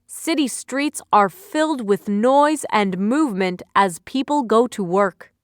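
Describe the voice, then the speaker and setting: Female instructor for scripted review material: clean, resonant tone, unhurried deliberate phrasing. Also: on the high side, lively intonation, clean tone, no room sound, clean studio sound. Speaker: quiz narrator, instructor. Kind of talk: scripted educational narration.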